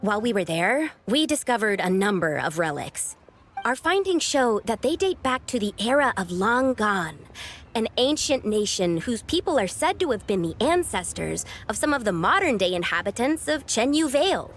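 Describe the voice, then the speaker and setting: A woman's voice: on the high side, big pitch swings, normal speed. A woman voicing an earnest, apologetic and anxious young lady scholar in a video game. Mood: earnest, explanatory. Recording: faint music behind the voice.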